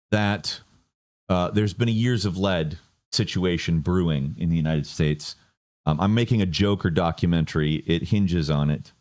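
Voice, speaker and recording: A man giving casual political commentary, sardonic and rambling. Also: low-pitched. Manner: sardonic, rambling with fillers and restarts.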